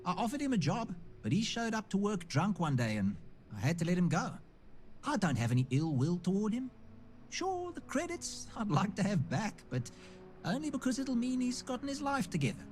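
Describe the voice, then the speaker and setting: An actor playing a plain-spoken, wistful shopkeeper in a space colony video game, with a folksy, frank way of talking. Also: male voice, high-pitched, sing-song, moderate pace, darkish tone, a little hiss or hum. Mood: regretful, firm. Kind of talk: video game character dialogue.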